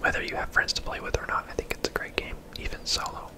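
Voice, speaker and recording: A person whispering casual, laid-back ASMR commentary over a video game, in a breathy, unvoiced voice. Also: fast talker. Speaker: host, gamer.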